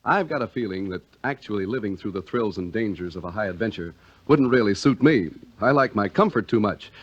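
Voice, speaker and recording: Vintage American radio announcer, dull old recording. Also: male voice, medium pitch. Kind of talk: 1940s-50s radio announcing, scripted narration and ad reading.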